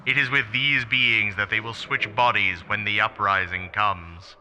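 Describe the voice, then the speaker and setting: A man's voice: on the low side, fairly even intonation, unhurried, sharp tone. A man playing a formal, grave extraterrestrial in a radio play, speaking in stiff, literal phrases. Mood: solemn. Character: formal, grave extraterrestrial being, puzzled by humans.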